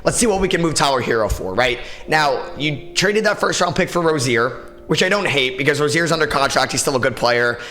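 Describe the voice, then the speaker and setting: A young man with a raspy, tired voice, hosting a solo sports podcast in a casual, opinionated way with many fillers. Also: on the high side, expressive, a little fast, few pauses, bright, forceful tone. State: tired, short on sleep. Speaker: podcast host.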